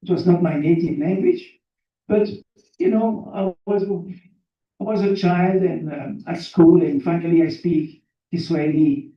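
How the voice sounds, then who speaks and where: A man's voice: fairly high pitch, fairly even intonation, unhurried. A man from Belgium, a native French speaker with a French accent, gives a motivational talk in simple English, repeating phrases for emphasis and putting questions to listeners. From Belgium, born in Burundi. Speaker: seminar guest speaker. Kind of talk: motivational talk to an audience, telling own life story. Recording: very echoey room, distant mic, muffled.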